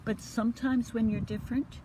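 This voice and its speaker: A woman voicing a kindly, wise cricket in a storybook, speaking gently and encouragingly. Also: a little high, fairly even intonation, brisk, background noise, pitched above the performer's own voice, natural voice.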